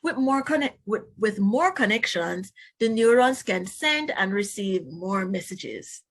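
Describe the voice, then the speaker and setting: A woman teacher reading a children's book aloud, pausing to explain and put questions to young listeners. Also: medium pitch, expressive.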